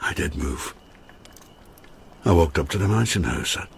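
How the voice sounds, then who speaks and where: A man playing an earthy, haunted widower in a radio drama, an expressive teller who halts and repeats himself. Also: low voice, measured pace, vocal fry, a little hiss or hum, full character voice. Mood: numb, confessional. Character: haunted, earthy widower who cooks and once went mad.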